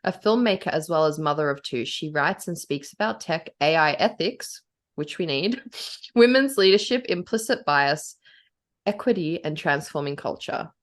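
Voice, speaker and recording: Woman, podcast co-host, low voice, lively pitch, giggly, rambling, casual chat. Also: normal speed. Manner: giggly and rambling, heavy use of "like".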